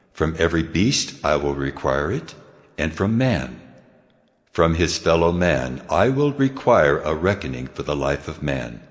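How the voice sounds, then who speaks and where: A deep, reverberant, solemn God. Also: male voice. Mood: solemn, commanding. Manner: solemn, authoritative. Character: God, solemn commanding male deity of authority. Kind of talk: dramatised Bible audio reading. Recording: long cavernous reverb.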